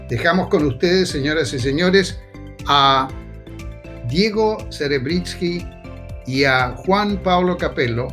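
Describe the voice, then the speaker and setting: A man acts as podcast announcer, reading a prepared introduction. His delivery is slow and deliberate, in a slightly raised register, with faint music behind him. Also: fairly high pitch, animated, a bit bright. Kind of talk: scripted introduction read aloud.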